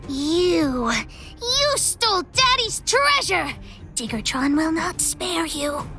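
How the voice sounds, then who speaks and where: A woman's voice: very high-pitched, big pitch swings, slow, bright, forceful tone, faint music behind, exaggerated voice. A woman voicing a bold, boastful little girl treasure hunter in a video game. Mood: accusing. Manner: childlike, boastful, speaks of herself in third person.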